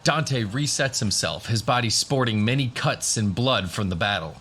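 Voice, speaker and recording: A man's voice: medium pitch, moderate pace, a bit bright, husky. A man giving scripted narration for a fantasy audio drama. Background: music.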